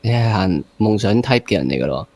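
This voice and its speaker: Low-pitched Hong Kong man hosting casually. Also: expressive, a little fast, darkish tone, no echo. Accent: Hong Kong Cantonese. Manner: joking, teasing banter. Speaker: interview show host. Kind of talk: casual interview chat.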